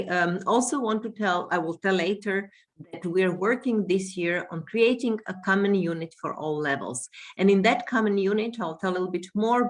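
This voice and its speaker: A woman who teaches world languages and coordinates home languages, giving a workshop presentation with frequent pauses and restarts. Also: medium pitch. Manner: hesitant, many fillers and restarts while explaining.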